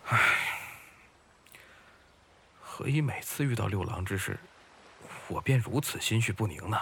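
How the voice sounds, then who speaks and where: A man's voice: lowish voice, expressive, measured pace, vocal fry, fairly bright tone, a little hiss or hum, exaggerated voice. An actor playing a young, upright scholar turned fisherman, reserved and formal, in an audio drama. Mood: wistful, pensive.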